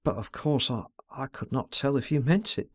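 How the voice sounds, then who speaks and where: A man's voice: medium pitch, animated, normal speed, no room sound, lightly acted. A man reading a novel aloud, voicing a distressed young woman under twenty who speaks hurriedly, stammers and pleads ardently.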